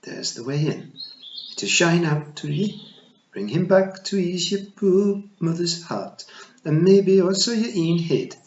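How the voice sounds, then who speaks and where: A man's voice: a little high, sing-song, a little slow, voice pushed up. A man doing a tiny old Scottish pixie matriarch. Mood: weakening. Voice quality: broad Scots burr. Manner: warm, teasing and commanding. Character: tiny old dying female pixie chieftain, shrewd and wry. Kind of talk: storybook reading. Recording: roomy, slightly dull recording.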